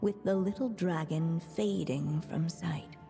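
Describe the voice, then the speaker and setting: A woman playing a solemn, wistful storybook narrator in a video game, reading in a measured way. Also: fairly low pitch, creaky, warm tone. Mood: grave. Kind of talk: scripted fairy-tale game narration. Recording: reverberant game audio with music.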